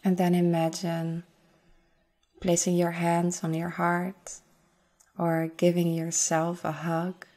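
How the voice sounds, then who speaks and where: A woman leading a guided meditation in a soothing, gentle, unhurried manner with long pauses. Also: on the low side, completely flat delivery. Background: forest nature sounds with birds.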